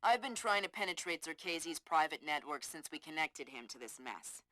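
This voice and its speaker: A woman's voice: low-pitched, lively intonation, moderate pace, fairly bright tone, dry recording. An actress playing a focused female intelligence analyst and hacker in a video game, with an earnest, technical way of talking. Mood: frustrated.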